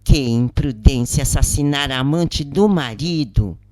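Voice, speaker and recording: A troubled, questioning woman prisoner , read by a female narrator, speaks with a Brazilian accent. Her delivery is earnest, hesitant and slow, in a dry audiobook recording. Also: a bass voice, a little mellow, no room sound. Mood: earnest, concerned.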